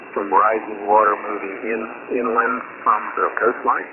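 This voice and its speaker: A man working as a ham radio operator, haltingly reading out an official weather bulletin over shortwave, with stumbles and self-corrections. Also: on the low side. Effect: shortwave ham radio transmission. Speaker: amateur radio operator relaying storm advisories. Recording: tinny, very noisy shortwave radio signal. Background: loud radio static and noise.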